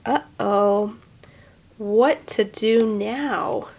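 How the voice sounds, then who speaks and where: A woman reading a children's picture book aloud, expressively, with animated asides to young listeners. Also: mid-range voice, very slow, vocal fry. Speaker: storyteller.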